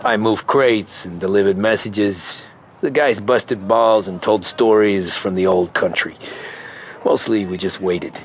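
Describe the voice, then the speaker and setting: A man's voice: fairly low pitch, measured pace, a little hiss or hum. An actor playing a reserved, respectful American man newly in the mob in a 1930s crime game, plain-spoken and understated. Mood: reflective. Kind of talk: cinematic video game acting. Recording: music behind the voice.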